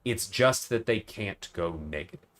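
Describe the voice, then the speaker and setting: A man's voice: lowish voice, expressive, normal speed, a bit bright. A man livestreaming a game, giving casual commentary and explaining things in detail, often checking in with "right?". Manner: explanatory, tags points with "right?".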